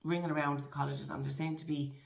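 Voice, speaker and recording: Low-voiced Irish woman, echoey room. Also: flat delivery, fast talker, gravelly, slight background noise. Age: 40s. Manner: earnest, encouraging, with frequent restarts. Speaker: guest. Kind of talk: conversational interview.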